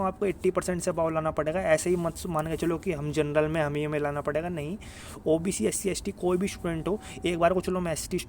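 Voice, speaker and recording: Higher-pitched man, noisy recording. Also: a little mellow. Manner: earnest, repetitive, insistent, with frequent check-in tags. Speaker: exam guidance YouTuber. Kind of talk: informal advice talk to students. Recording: clear ambient background noise.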